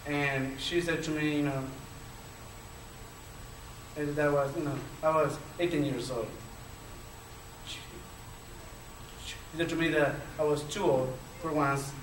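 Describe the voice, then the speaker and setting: Man, Chilean Spanish accent, stutter, many fillers, slightly high voice, far from the mic. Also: fairly even intonation, takes it slowly, long pauses. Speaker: panelist. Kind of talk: personal story at a panel discussion. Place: lecture hall. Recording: echoey hall from far off, faint noise.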